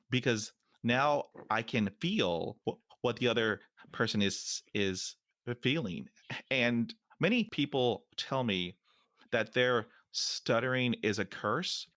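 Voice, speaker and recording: A man hosting a podcast interview who talks slowly and deliberately and stutters now and then. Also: mid-range voice, sharp tone, no echo, clean studio sound. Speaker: podcast host.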